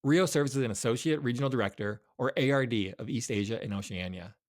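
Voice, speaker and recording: Man, podcast host, fast pace, upbeat, welcoming. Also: medium pitch. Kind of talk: podcast interview.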